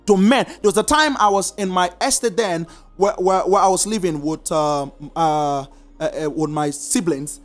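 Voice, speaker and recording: A preacher teaching a congregation, stressing points in slow drawn-out repeated commands. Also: medium pitch, soft background music. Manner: lively sing-song emphasis, slow drawn-out repeated commands. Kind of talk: sermon teaching.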